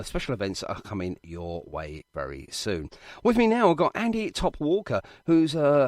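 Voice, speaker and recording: A man's voice: mid-range voice, no echo, studio quality. A British man hosting a radio show in an informal, chatty, jokey way with self-deprecating asides. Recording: music behind the voice. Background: music.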